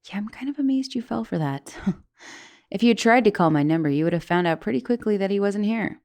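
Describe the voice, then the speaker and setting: A woman's voice: lowish voice, creaky, mellow. A woman voicing a vengeful, teasing young giantess in an ASMR roleplay monologue, mocking and menacing in a playful, theatrical way. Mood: amused, teasing. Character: gloating, teasing vengeful young giantess taunting shrunken captive.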